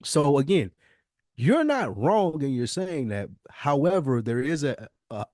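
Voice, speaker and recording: A man's voice: mid-range voice, very animated, unhurried, dark tone, no echo, clean studio sound. A man in a conversational podcast discussion, explaining emphatically and posing rhetorical questions as a co-host.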